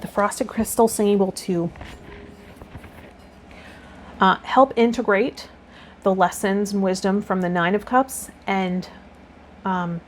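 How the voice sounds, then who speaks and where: A woman's voice: mid-range voice, slight background noise. A woman hosting a tarot video, reading card meanings aloud and adding casual commentary.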